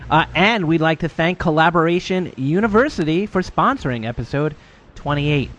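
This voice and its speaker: A man's voice: fairly high pitch, expressive, moderate pace, a bit bright, dull audio. A man with a New York accent and a nasal voice, co-hosting a tech podcast in loose, chatty talk full of fillers. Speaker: podcast co-host. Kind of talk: casual tech podcast chat.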